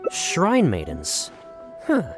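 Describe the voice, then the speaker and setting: An actor playing a flustered, awkward writer in an anime game, halting and expressive. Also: male voice, fairly high pitch, music in the background, exaggerated voice. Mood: dejected, curious.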